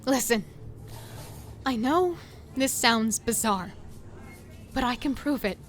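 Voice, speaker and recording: Casting: a lost, insistent middle sister in horror drama, high voice, urgent and pleading. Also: female voice, lively intonation, slow pace, soft background music. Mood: earnest, pleading. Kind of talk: audio horror drama acting with narration.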